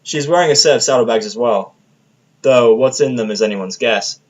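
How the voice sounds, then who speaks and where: A man's voice: mid-range voice, normal speed. A man narrating scripted first-person fiction as the storyteller of an audio drama.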